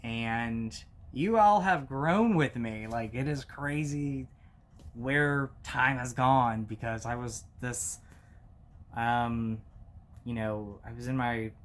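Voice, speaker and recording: Man in his 30s, casual vlog talk, slow unhurried pace, halting and heartfelt, mid-pitched voice. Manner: halting, many fillers and restarts, heartfelt. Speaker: movie collector YouTuber.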